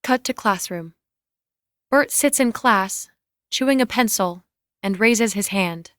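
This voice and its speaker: Female narrator for a dramatic script reading, delivering scene directions, medium pitch, dry studio-like sound. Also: slow, a bit bright, dry recording.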